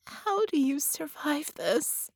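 A woman voicing a grief-stricken, exhausted woman in an audiobook, whispery, tearful and halting. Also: high voice, sing-song, vocal fry, darkish tone, no echo, studio quality, voice pushed up, heavily acted. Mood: anguished. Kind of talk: audiobook character dialogue.

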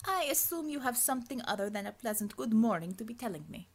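A woman's voice: a little high, expressive, fast, pitched above the performer's own voice. A woman voicing a stern, imperious Russian woman commander in an audiobook story, with a Russian accent and clipped, formal speech. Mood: cool, dry.

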